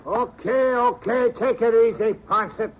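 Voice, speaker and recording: An actor playing a plain-spoken, agreeable American outlaw in a 1950s radio western, folksy and matter-of-fact. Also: male voice, extremely high pitch, steady tone, moderate pace. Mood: brisk. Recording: muffled, slightly noisy old radio recording.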